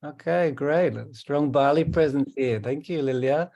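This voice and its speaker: A man hosting an online meeting, welcoming each participant calmly and often repeating his words. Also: a little high, a little slow, highs cut off. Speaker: host. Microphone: video call.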